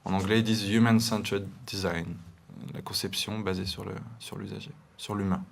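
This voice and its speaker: A man's voice: fairly low pitch, flat delivery, fairly quick, vocal fry. A young man with an eastern French accent, a designer guest in a studio interview, explaining with many restarts and fillers. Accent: eastern French, Montbéliard area. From eastern France.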